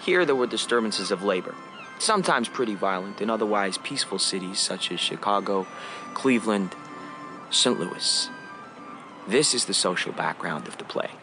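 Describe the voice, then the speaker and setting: Low-voiced, wistful stage narrator son. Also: male voice, animated, over music. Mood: wry, lyrical. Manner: poetic, measured, addressing the audience. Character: wistful young American son narrating his memory play. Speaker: actor playing a narrator. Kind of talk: theatrical radio drama monologue.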